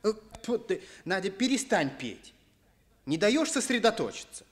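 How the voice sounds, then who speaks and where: An earnest teenage boy tutoring in a Soviet radio play. His voice is fairly high and very lively, given to muttering and exasperated scolding. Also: moderate pace.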